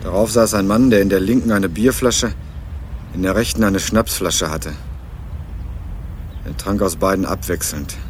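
Actor playing a young student sleuth narrating a radio crime play, dry ironic tone, lowish voice. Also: male voice, moderate pace, long pauses, a little hiss or hum, close to an everyday voice. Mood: wry. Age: young adult. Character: young male student turned amateur sleuth, wry and dogged. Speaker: narrator and protagonist. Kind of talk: radio crime drama, first-person narration.

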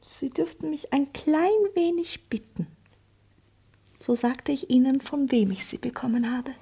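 Sly, high-voiced young maidservant. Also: female voice, measured pace, vocal fry, warm tone, breathy edge, full character voice. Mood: coaxing, mock-offended. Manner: teasing, theatrical, mock-formal. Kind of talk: classic German stage comedy as radio play.

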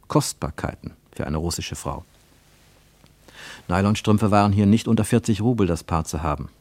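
A man reading scripted literary narration for radio, with measured, rhetorical and emphatic phrasing. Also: on the low side, darkish tone, dry recording.

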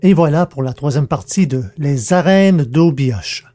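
Mellow-voiced man reading narration and podcast credits, calm and plain. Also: on the high side, a little slow. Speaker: narrator and producer of an audio drama podcast.

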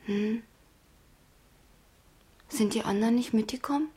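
An actress playing a gentle, dreamy injured schoolgirl in a German radio drama, with a soft voice. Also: on the high side, measured pace, slight background noise, lightly acted. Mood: dreamy, frail. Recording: music behind, 1970s radio play.

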